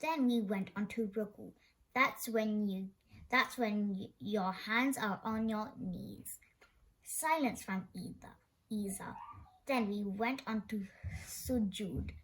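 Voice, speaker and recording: A woman's voice: medium pitch, takes it slowly, rough recording. A woman reading a children's story aloud expressively, now and then stumbling over a word. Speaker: storybook reader.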